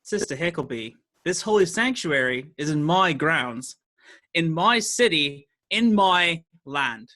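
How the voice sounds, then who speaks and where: An actor playing an imperious, possessive baron and high sheriff in a radio play, pompous and commanding. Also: male voice, animated, takes it slowly, pushed and bright, full character voice.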